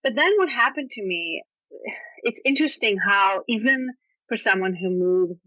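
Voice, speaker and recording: A woman's voice: mid-range voice, normal speed, no echo, low-quality recording. A woman with an Israeli accent, a native Hebrew speaker, telling stories animatedly as a guest author on a casual podcast, peppered with "you know". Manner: animated storytelling with frequent "you know" and laughs.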